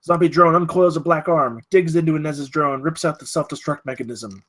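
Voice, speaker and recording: A man's voice: fairly high pitch, fairly quick, fairly bright tone. A man reading stage directions at a screenplay table read, in a dramatic, clipped way.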